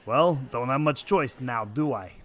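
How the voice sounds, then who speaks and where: A man's voice: medium pitch, animated, a little slow, a bit bright, roomy sound. A man playing a stubborn, wry 1940s private detective in a noir radio drama, speaking in terse, slangy tough talk on a close mic. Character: hard-boiled, stubborn 1940s private detective, gruff uncle. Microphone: close studio microphone, headset.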